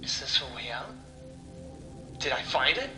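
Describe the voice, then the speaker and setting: A man playing a confused, frightened amnesiac in a horror story, talking to himself in halting questions. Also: medium pitch, lively intonation. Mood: panicked disbelief. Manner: halting, questioning, sighing self-talk. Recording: echoey sound with music underneath.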